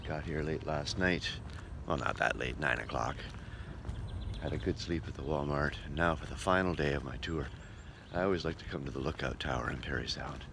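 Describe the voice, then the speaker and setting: A man's voice: a bass voice, a little fast, vocal fry, background noise. A man who entertains as a touring singer and show host, chatting casually in a folksy way as in a travel vlog or between songs.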